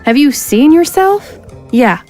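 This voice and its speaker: A woman's voice: high-pitched, sing-song, takes it slowly, warm tone, heavily acted. A woman playing a brash, swaggering space smuggler pilot, cocky and teasing, in an audio drama with faint music behind. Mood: breezy. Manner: cocky, teasing, rule-bending.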